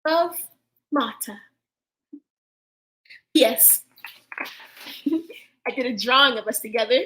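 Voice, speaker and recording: A woman's voice: slow pace, bright, forceful tone, a little hiss or hum. A woman voicing a lonely, childlike girl doll who talks with childish grammar and pines for her owner. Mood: pleading. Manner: high childish voice, bad grammar, wobbly. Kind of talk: audio drama acting.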